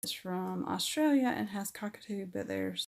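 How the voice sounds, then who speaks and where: A woman's voice: mid-range voice, animated, moderate pace, gravelly. A middle-aged woman, chatting casually on a livestream as she reads viewer comments aloud and answers each one.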